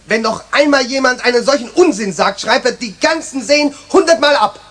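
A man's voice: very high voice, animated, fairly quick, crowd noise. An actor playing a stern, exasperated male schoolteacher in a children's radio play, scolding with emphatic enunciation. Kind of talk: 1970s German children's radio play acting.

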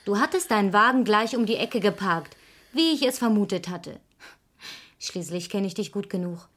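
A woman's voice: medium pitch, lively intonation, normal speed, a bit bright. An actress playing a resolute, reproachful woman who confronts others pointedly and dramatically in a vintage German crime radio play. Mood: cool, reproachful.

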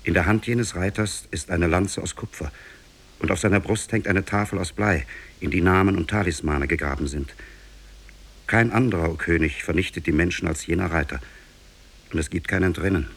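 Deep, husky prince telling his tale. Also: a bit bright, full character voice. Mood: grave foreboding. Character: shipwrecked prince recounting his sea ordeal, grave and solemn. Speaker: storytelling character in a radio play. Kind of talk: 1950s German radio drama, literary fairy-tale narration.

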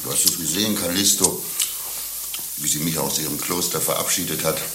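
Deep-voiced cynical pimp. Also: male voice, a little fast. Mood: smug confiding. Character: cynical, coarse male pimp, bragging and mock-tender. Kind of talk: satirical German radio play acting. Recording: roomy, with faint hiss.

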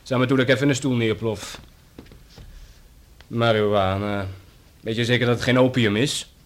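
An actor playing a sarcastic, defiant smuggling suspect in a radio play, with a Netherlands Dutch accent and an indignant manner. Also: male voice, medium pitch, fairly even intonation, normal speed, natural voice. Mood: mocking, indignant.

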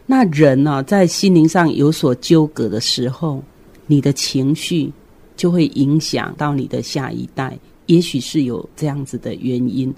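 A middle-aged woman with a Taiwanese Mandarin accent, explaining reflectively in short, deliberate phrases as a guest on a radio discussion. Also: extremely low pitch, darkish tone, breathy edge. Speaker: guest commentator, educator.

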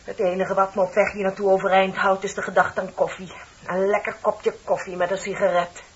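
An actress playing a chatty, flattering office secretary in her thirties in a radio play, with a polite, effusive manner. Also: mid-range voice, fairly quick, close to an everyday voice. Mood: warm, effusive. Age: 30s. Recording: dull, noisy old radio recording.

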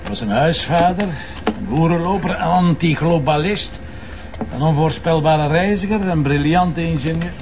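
Male actor as sceptical Flemish commissioner, slightly high voice, colloquial, probing, crime drama, muffled with faint noise. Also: normal speed, lightly acted. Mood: brisk, musing. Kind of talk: naturalistic crime drama acting.

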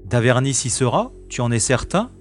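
Brisk male special agent, played by an actor, informal and wry, echoing space with music behind. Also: mid-range voice, big pitch swings, lightly acted. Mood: skeptical. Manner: terse, informal, questioning. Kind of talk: audio drama acting. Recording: echoey reverb with music.

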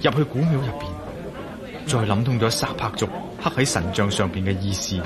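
A man's voice: lowish voice, lively intonation, a little fast, over a noisy crowd, lightly acted. An actor playing a determined male adventurer in a Cantonese radio drama, speaking firmly and decisively with a Hong Kong accent. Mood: serious, firm. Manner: firm, urgent, decisive. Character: determined, commanding male adventurer on an urgent mission.

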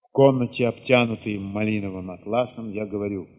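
A man with a husky voice reads a literary first-person story theatrically, moving between hushed passages and sudden swells. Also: fairly low pitch, steady tone, brisk. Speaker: narrator reading an audio play. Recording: reverberant, slightly dull sound.

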